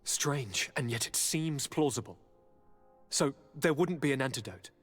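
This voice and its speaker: Theatrical young nobleman with sweeping pitch. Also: measured pace. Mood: fascinated, eager. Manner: courtly, theatrical, expressive. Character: charming, theatrical young nobleman governor, eager and affectionate. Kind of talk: dramatic video game voice acting. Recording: reverberant hall, music underneath.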